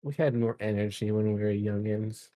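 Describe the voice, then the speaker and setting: A man's voice: on the low side, steady tone, normal speed, dark tone, no echo. A man in his mid-twenties, a guest in a casual, joking chat with friends. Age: mid 20s. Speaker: podcast guest.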